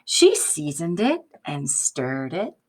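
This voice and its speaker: Slow, very expressive woman reading to children. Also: deep voice, slightly rough. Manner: expressive, deliberate, prompting listeners. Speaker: teacher reading aloud to children. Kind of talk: picture-book read-aloud with questions to young listeners.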